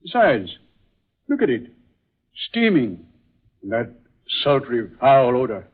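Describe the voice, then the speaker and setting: A man's voice: mid-range voice, big pitch swings, slightly rough, full character voice. Grave, theatrical man, tinny recording. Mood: grave, wondering. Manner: deliberate, theatrical, musing. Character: solemn male film star, grave and dramatic. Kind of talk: old-time radio drama acting. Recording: muffled, tinny vintage radio recording.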